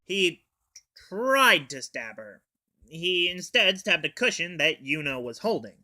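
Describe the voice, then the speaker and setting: A man's voice: fairly high pitch, sing-song, slow pace, bright, forceful tone, no room sound, studio quality. A teenage boy casually recapping an anime episode in an offhand, hesitant way with fillers and swearing. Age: teens. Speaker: anime reviewer.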